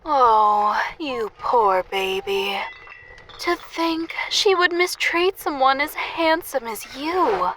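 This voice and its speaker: A woman's voice: high voice, animated, slow pace, fairly bright tone, a little hiss or hum, heavily acted, rough recording. A woman playing a flirtatious, sultry seductress in an audio drama, teasing and full of cooing sympathy. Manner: sympathetic, teasing, breathy whispers at times.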